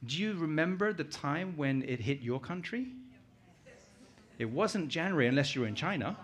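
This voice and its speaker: A man's voice: fairly high pitch, animated, moderate pace, a bit bright. A middle-aged man with a British accent speaks as a guest at a conference, presenting informally and conversationally with personal anecdotes and questions to his listeners. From United Kingdom, born in Uganda.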